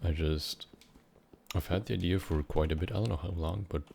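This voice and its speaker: A young man of about nineteen from Switzerland, rambling unscripted in a halting, meandering way while he plays a game, with casual swearing. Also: sits low, creaky, darkish tone, slight background noise. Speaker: gaming vlogger.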